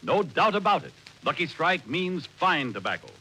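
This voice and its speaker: A man giving an emphatic, brisk 1940s radio advertising read as a commercial announcer. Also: fairly high pitch, sing-song, sharp tone. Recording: faintly noisy old radio broadcast.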